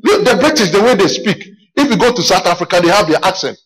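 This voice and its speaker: A middle-aged Ghanaian man preaches in a high voice, spinning personal stories into his sermon. He talks animatedly, doubles back on his words and calls out "Amen" to his listeners. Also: quick pace. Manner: animated storytelling, repeats himself, calls "Amen".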